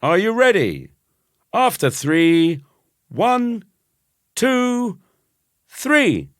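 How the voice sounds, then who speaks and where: A man voicing an eager, playful boy who calls out excitedly in a storybook reading. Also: high voice, very slow, no room sound, studio quality, voice pushed up, full character voice. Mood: excited shouting. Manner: excited, calling out. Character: eager boy narrator, bold, playful challenger and pleader.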